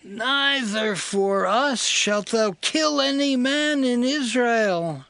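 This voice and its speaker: An actor playing a grim, vengeful Gibeonite spokesman who makes slow, deliberate demands. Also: extremely high pitch, animated, creaky, bright, forceful tone, no room sound, full character voice.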